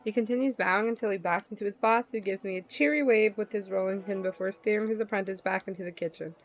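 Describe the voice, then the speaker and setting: A woman streams a visual novel, reading its narration aloud. Her medium-pitched voice is playful and slangy in casual reactions, with quiet music behind her. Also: fairly even intonation, fast talker. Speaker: livestream gamer.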